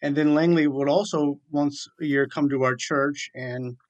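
A man's voice: a little high, fairly even intonation, normal speed, dull audio. A man in his forties from southeastern Virginia, a chaplain, telling his story conversationally and earnestly as an interview guest. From southeastern Virginia, USA. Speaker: military chaplain, radio guest.

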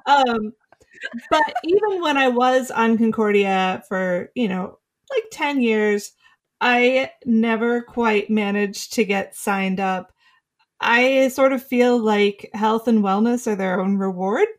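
A woman in a casual podcast chat, relaxed and rambling, who laughs often. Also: on the high side, slow.

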